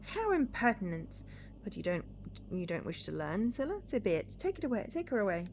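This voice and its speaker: A woman's voice: medium pitch, sing-song, brisk, darkish tone, slight background noise. A woman voicing a cold, imperious male villain in a cyberpunk game, formal and condescending. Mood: indignant.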